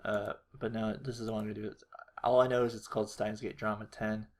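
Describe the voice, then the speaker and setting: A man's voice: on the low side, little pitch movement, fast. A man narrating a casual tutorial, hesitant and unrehearsed, thinking aloud as he works. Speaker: animator, video creator.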